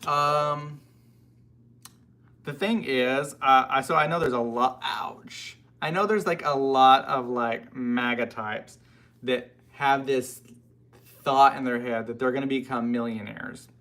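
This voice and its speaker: An American man with a mid-pitched voice and plenty of gravelly creak talks to his livestream audience. His delivery is informal and chatty. He rambles along, often breaking off into asides. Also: slow, sharp tone. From United States. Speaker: livestream host. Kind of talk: casual live chat, answering and reading out viewer comments.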